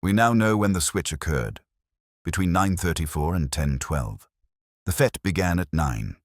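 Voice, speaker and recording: A shrewd police inspector, voiced by a male narrator, speaks very low and slowly. He is calm and understated, with dry wit and lively inflection, as in a mystery story. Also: no echo. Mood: calm, deliberate. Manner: slow, calm, measured, understated.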